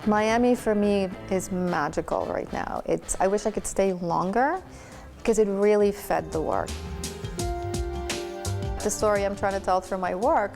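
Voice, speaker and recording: A woman artist from Trinidad talks with open enthusiasm about her work. Clear music runs under her voice. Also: medium pitch, measured pace. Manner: warm, effusive, personal. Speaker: visiting artist. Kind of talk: interview answers.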